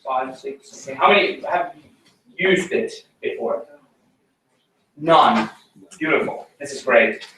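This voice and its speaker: A man's voice: a little high, lively intonation, takes it slowly, pauses often, a bit bright, recorded from a distance. A man with a Finnish accent presents at a tech meetup, hesitating often with ums and uhs. His voice carries a heavy echo, caught from across the meeting room. Faint background noise sits beneath it. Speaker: conference speaker. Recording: roomy echo, mic from afar, faint noise.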